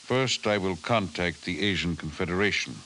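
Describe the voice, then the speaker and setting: An actor playing an authoritative president in 1950s radio drama style, formal and deliberate. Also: male voice, sits low, sharp tone. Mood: resolute, somber. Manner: formal, deliberate, weighty delivery. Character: authoritative, decisive male president of a nation. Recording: hissy 1950s radio recording.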